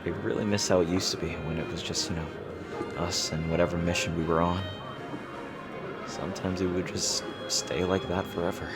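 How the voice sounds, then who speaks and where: A man's voice: deep voice, flat delivery, a little fast, lightly acted. A man playing a lovestruck royal rival in a romantic audio roleplay, playful and yearning, with tender, hesitant delivery. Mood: wistful, tender. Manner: teasing, hesitant, tender, formal-leaning phrasing. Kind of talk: romantic audio roleplay, intimate ASMR acting. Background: music.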